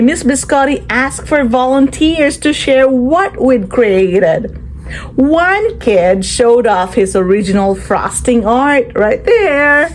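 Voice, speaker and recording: Casting: female children's storyteller, slow and deliberate, lively swooping intonation, encouraging teacher manner, some background noise. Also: sits high. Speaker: children's read-aloud storyteller. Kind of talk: storybook read-aloud with asides to children.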